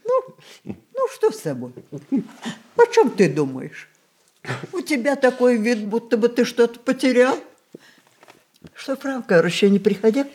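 A woman's voice: fairly high pitch, sing-song, unhurried, long pauses, a little mellow. An actress playing a shrewd old grandmother in a radio play, commanding and playful. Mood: teasing. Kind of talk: Russian stage radio play acting.

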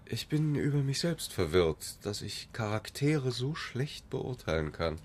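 An actor playing an urbane middle-aged playwright in a radio play, with dry, polished wit and an unflappable manner. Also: male voice, mid-range voice, sing-song, unhurried, a little hiss or hum. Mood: dry, unruffled.

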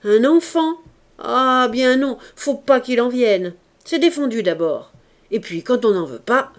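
Give me a woman playing an artless young maid, chattering, fairly high voice. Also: big pitch swings, takes it slowly, warm tone, breathy edge, full character voice.

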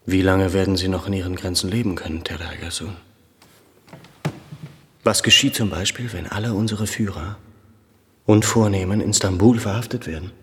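A worried, educated Armenian gentleman in a radio play. His low voice carries a long reverb. He speaks with formal, earnest urgency, questioning and pressing. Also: normal speed, pauses often. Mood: anxious, urgent. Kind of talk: German radio drama acting.

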